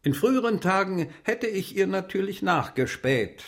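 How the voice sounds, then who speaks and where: An actor playing an elderly, wistful gentleman diarist in a literary radio play, speaking in a measured, formal and precise way. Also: high voice, expressive. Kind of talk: literary radio play monologue, reading diary entries.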